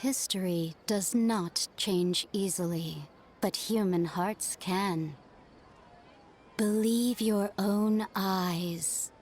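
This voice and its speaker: A woman voicing a calm, mysterious oracle in a video game, solemn, measured and cryptic. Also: mid-range voice, slight background noise.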